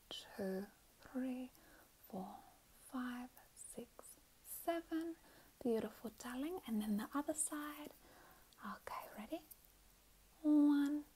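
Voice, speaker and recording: Woman acting a gushing, doting mother, softly high-pitched. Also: slight background noise, low-quality recording. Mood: doting, soothing. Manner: gushing, soothing, repeatedly endearing. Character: doting, gushing, over-emotional mother doing her child's makeup. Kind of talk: whispered ASMR roleplay.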